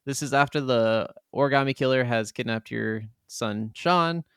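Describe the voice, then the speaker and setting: A man's voice: mid-range voice, moderate pace, fairly bright tone, smooth, dry recording, studio quality. A man co-hosting a podcast, chatting casually about video games with agreeable, easygoing replies. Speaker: podcast co-host.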